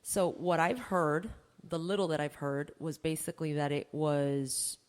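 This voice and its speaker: A woman's voice: sits low, fairly even intonation, unhurried. A woman co-hosting a talk podcast in casual, candid, very informal conversation. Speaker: podcast co-host. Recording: long reverberant echo, hall-like room.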